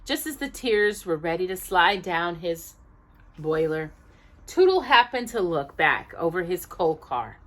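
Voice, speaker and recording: Female storytime reader, unhurried pace, lively intonation, deliberate emphasis, expressive children's narration. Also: fairly low pitch. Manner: deliberate, drawn-out emphasis, aimed at small children.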